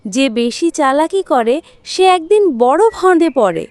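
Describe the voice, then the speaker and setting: A woman voicing a wise old parrot who gently admonishes in a children's cartoon story. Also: sits high, sing-song, unhurried. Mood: cautioning. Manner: admonishing, grandparently.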